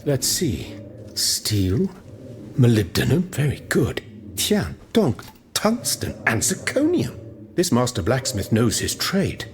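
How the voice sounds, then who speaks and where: Learned chemist, pedantic, confident, mid-pitched, widely varied intonation, game cutscene. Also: male voice, wildly swooping pitch, takes it slowly, a little hiss or hum. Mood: intrigued. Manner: pedantic, musing, precise. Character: confident, fussy, learned French gentleman chemist. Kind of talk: scripted video game cutscene dialogue.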